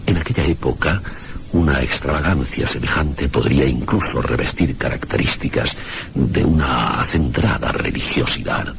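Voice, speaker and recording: Radio drama: learned, skeptical schoolmaster, rock-bottom pitch, creaky gravel, measured and formal, faint noise behind. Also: male voice, a bit bright, exaggerated voice. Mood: measured, didactic. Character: learned village schoolmaster and chronicler, cautious, skeptical, deferential. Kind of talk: Spanish radio mystery drama acting.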